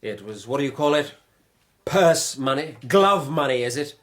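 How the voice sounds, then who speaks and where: Posh young English lord for radio drama: slightly high voice, courteous, determined. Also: male voice, animated, moderate pace, fairly bright tone. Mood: measured, probing. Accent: upper-class English. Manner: polite but firm. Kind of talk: period radio drama acting.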